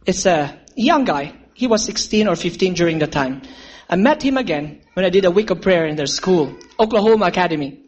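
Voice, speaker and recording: A man's voice: high-pitched, expressive, a little fast. A man preaching a sermon, telling a story and calling his listeners friends. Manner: earnest, addresses listeners as friends, asks for amens.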